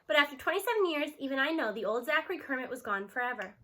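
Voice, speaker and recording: High, bright woman reading a story aloud. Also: lively intonation, very fast. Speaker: read-aloud narrator. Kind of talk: expressive storybook read-aloud in first person.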